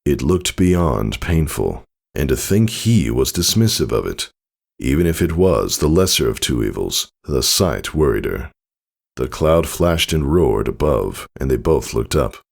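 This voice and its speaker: Very deep man narrating, lively intonation. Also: unhurried, vocal fry. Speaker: fan fiction narrator. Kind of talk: scripted dramatic story narration.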